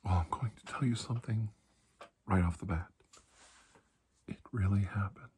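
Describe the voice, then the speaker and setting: A man playing a calm, kindly doctor in a soft-spoken ASMR roleplay, reassuring and deliberate, with long pauses and breathy slips into whispering. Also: lowish voice. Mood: hushed, confiding. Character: calm, kindly, ageless male doctor of alien medicine.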